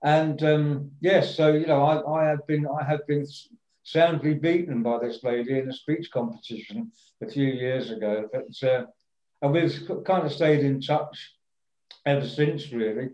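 A man hosting a podcast, his mellow, dark voice muffled a little and echoing in the room. He speaks in a friendly, halting way, full of fillers and restarts. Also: fairly high pitch. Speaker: podcast host. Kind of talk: casual interview.

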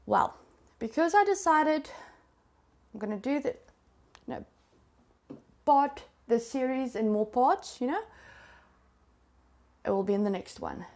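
A woman's voice: on the high side, animated, measured pace, pauses often, darkish tone. A South African woman whose first language is Afrikaans, telling a true crime story in a chatty, confiding way to her listeners. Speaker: podcast host.

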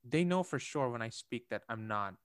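A young man from Indonesia talks things through in a relaxed conversation, pausing with fillers and restarting his sentences. His voice is smooth and resonant. It is recorded dry and close, with no room sound. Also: medium pitch, dry recording. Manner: thoughtful, hesitant, many fillers and restarts, laughs lightly. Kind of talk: casual conversation.

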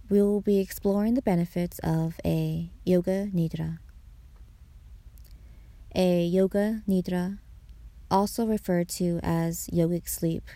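A woman guiding a meditation in a soothing way, pausing between short phrases. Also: fairly low pitch, warm tone, no echo. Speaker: meditation guide, podcast host. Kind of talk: guided meditation narration.

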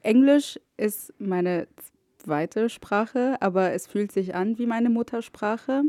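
A woman's voice: medium pitch, slow pace, mellow, no echo, studio quality. A woman from Egypt, a native Arabic speaker, chatting as a podcast guest and often slipping English words into her talk. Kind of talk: casual chat.

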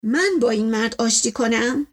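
A woman voicing an imperious, suspicious older male patriarch in an audiobook story, stern and pompous in manner. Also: a little high. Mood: indignant, impatient.

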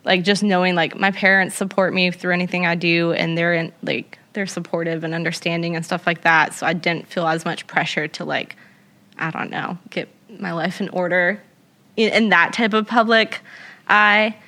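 A woman's voice: on the low side, fairly even intonation, moderate pace, bright, forceful tone. A young woman talking casually and reflectively, rambling with many fillers as she shares her experience. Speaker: guest. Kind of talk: casual conversation.

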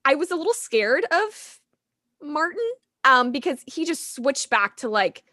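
Young woman cohost, bright sharp voice, playful and giggly, dry close mic. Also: sits high, big pitch swings, a little slow, no room sound. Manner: playful, laughs a lot, theatrical. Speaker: podcast co-host. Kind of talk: casual chat recapping a TV episode.

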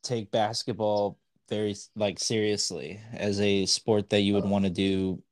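A man's voice: on the low side, little pitch movement, a little slow. A young man hosting a sports podcast, asking interview questions in a loose, hesitant way, with "kinda" and "like" throughout. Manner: hesitant, loose phrasing with fillers. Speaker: podcast host.

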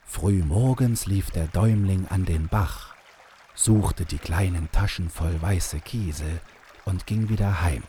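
A man with a husky voice narrating a fairy tale in a measured, unhurried way. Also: low-pitched, dark tone, a little hiss or hum. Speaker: storyteller, narrator.

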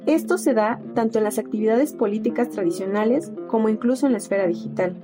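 A woman with a fairly high voice reads a formal informational script. Her delivery is clear and deliberate. Plucked guitar music plays clearly underneath. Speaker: narrator.

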